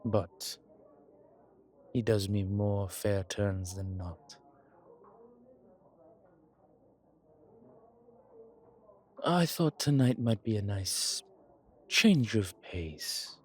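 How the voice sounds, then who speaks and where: A man playing a suave, grateful old vampire in a roleplay audio, speaking formally with pauses and quiet amusement. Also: on the low side, breathy edge. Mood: grateful, courteous. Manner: genteel, formal, hesitant pauses, soft chuckles. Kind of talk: intimate one-sided roleplay audio drama.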